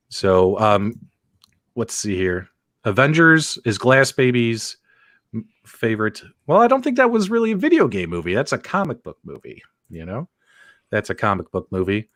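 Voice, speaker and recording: Animated man hosting a live stream. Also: lowish voice, no echo, clean studio sound. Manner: informal, conversational, addresses viewers directly. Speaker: host of a live panel show. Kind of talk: casual live-stream hosting and chat reading.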